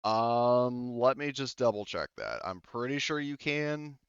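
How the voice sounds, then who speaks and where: A man speaking casually and haltingly as the game master of a tabletop roleplaying game, thinking aloud. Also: medium pitch, expressive, fairly bright tone, clean tone, no room sound, dull audio.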